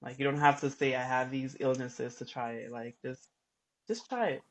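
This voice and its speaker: A man hosting a casual livestream chat, soft-spoken and hesitant, trailing off as he poses questions. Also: mid-range voice, moderate pace. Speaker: livestream host.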